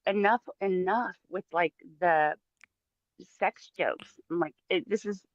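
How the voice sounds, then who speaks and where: A woman's voice: lowish voice, slow pace, no room sound. A woman bantering casually as a livestream co-host, joking in a mocking way, heard through slightly muffled audio.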